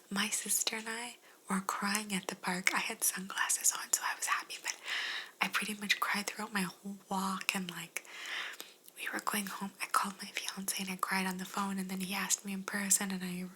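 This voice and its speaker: A woman speaking in a breathy whisper, chatting casually and gently as she answers questions in an ASMR style. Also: medium pitch, flat delivery, normal speed, slight background noise, poor audio. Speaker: ASMR video creator answering viewer questions.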